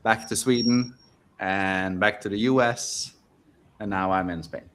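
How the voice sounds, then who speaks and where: A Norwegian-born coach talks as a guest in a calm, measured and upbeat way. His voice sits somewhat low for a man. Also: clear, resonant tone. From Norway. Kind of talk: casual interview chat.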